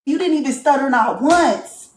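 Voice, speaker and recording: Reality show woman, raised pitch, blunt and profane, roomy clip with faint noise. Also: animated, normal speed, a little mellow. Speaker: reality show cast member. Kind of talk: casual confessional chat.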